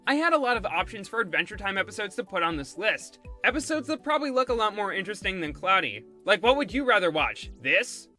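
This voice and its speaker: A man with a high, very bright and forceful voice reads a scripted review. He drops in snarky asides as he goes. Music plays behind his narration. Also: big pitch swings, quick pace, hardly any pauses. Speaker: video essayist and reviewer. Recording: background music under the voice.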